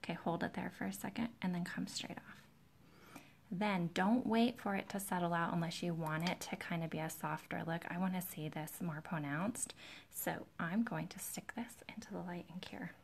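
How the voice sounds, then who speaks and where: A woman hosting a live nail tutorial in a chatty, relaxed way, with a soft, breathy, soothing voice that often drops near a whisper, close to the microphone. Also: lowish voice, moderate pace, a little hiss or hum. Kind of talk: chatty live-stream demonstration, greeting viewers. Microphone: close to the camera's microphone.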